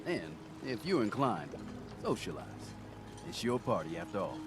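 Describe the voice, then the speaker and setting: An actor playing a rowdy, folksy harbor town elder in a video game, blunt and given to drawn-out emphasis. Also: male voice, fairly low pitch, sing-song. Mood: gruff, amused. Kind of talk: dramatic video game dialogue. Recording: loud music over the voice.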